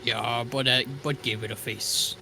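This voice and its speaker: A man's voice: mid-range voice, level tone, measured pace, gravelly, faint music behind. A man voicing a simple-minded, earnest adventurer in a tabletop roleplay, speaking in broken grammar and calling himself by name. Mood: plain, content.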